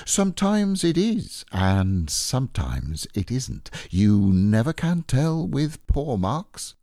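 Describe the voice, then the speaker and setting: A man voicing an earnest, puzzled toy bear who muses in a slow, deliberate way, as a storybook character. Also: mid-range voice, big pitch swings, warm tone, no room sound, studio quality, voice pushed up. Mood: musing. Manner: ponderous, deliberate, musing. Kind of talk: children's storybook character reading.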